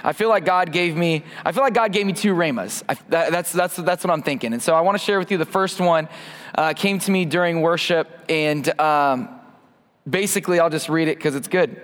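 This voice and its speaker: A man's voice: fairly high pitch, brisk, a bit bright, long reverb tail. A man in his thirties giving an informal sermon and prayer with a mic on, casual and self-deprecating, often restarting his sentences. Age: 30s. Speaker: preacher. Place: church hall. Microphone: wearing a microphone.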